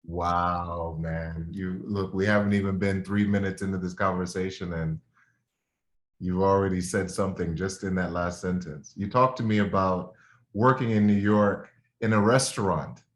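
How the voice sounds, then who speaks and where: A man's voice: sits low, steady tone, normal speed, echoey room. A man hosting an interview, enthusiastic, cutting in with eager follow-up questions. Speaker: host.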